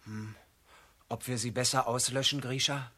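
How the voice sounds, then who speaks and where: An actor playing a stubborn, wary peasant brother in a children's radio drama, speaking curtly and plainly. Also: male voice, mid-range voice, steady tone, normal speed, pushed and bright. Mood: baffled.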